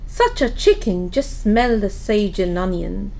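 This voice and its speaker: A woman's voice: medium pitch, very animated, a little slow, vocal fry, mellow. A woman playing an eager, affectionate working daughter in an audio play. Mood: cheerful.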